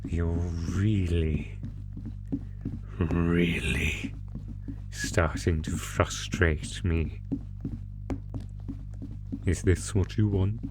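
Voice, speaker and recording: A man voicing a sadistic, theatrical monster who taunts a prisoner in a horror roleplay, talking with drawn-out pauses. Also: low-pitched, steady tone, music in the background, full character voice. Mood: rattled, frustrated. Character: sadistic, gloating male monster stalking its prisoner through a maze. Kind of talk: ASMR horror roleplay audio drama.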